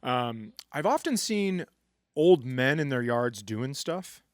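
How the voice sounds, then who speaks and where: A man's voice: medium pitch, very animated, takes it slowly, no room sound, studio quality. A man co-hosting a comedy podcast, rambling through stories in casual, swearing banter.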